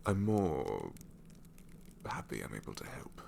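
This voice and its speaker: A man voicing a sassy male shadow demon in an ASMR roleplay, snarky and rambling with soft whispered asides. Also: low-pitched, huge pitch swings, slow, creaky, a little hiss or hum, heavily acted.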